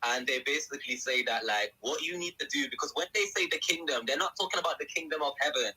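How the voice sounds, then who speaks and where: Young man, podcast guest: husky, very bright voice sitting slightly high, animated storyteller. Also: quick pace, rough recording. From England. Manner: animated storyteller who acts out quotes. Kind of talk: casual podcast testimony.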